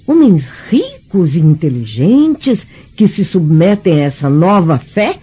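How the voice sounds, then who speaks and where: A muffled radio drama recording carries a mature Roman noblewoman, stern and scornful. Her Brazilian-accented voice is husky and mellow. She speaks formally and with measured, haughty disapproval. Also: lowish voice, big pitch swings, heavily acted.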